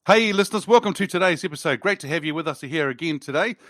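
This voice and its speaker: A man's voice: fairly high pitch, lively intonation, fast talker, fairly bright tone, no room sound, clean studio sound. A man hosting a podcast interview, welcoming his guest with upbeat enthusiasm over a remote call. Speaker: podcast host. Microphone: remote call recording.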